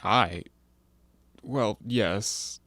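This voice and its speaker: A man playing a guarded, nervous traveler in an audio drama, speaking in a hesitant, measured, questioning way. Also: fairly low pitch, a bit bright, no room sound, heavily acted. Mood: wary. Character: guarded, nervous, quietly probing male traveler. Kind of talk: stage-play acting in an audio drama.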